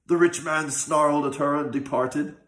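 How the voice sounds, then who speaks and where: A man narrating a scripted folk tale with an expressive, old-fashioned storytelling delivery. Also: fairly high pitch, moderate pace. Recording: roomy, echoing sound.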